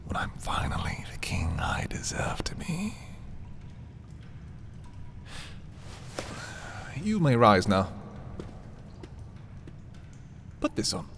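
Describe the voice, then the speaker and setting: A man playing a possessive, commanding prince in a dark fantasy ASMR roleplay, deliberate and imperious yet intimate. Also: lowish voice, wildly swooping pitch, creaky, heavily acted. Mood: cold, commanding. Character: possessive, commanding young prince, darkly romantic schemer. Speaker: audio roleplay performer.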